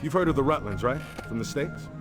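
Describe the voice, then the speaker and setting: A man's voice: mid-range voice, animated, brisk, music in the background, close to an everyday voice. The wisecracking, casual man on mission support in an adventure game, mid-range voice over the soundtrack, an actor's part. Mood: sardonic briefing. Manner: casual, sardonic. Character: casual, sardonic male tech-support sidekick. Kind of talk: video game voice acting.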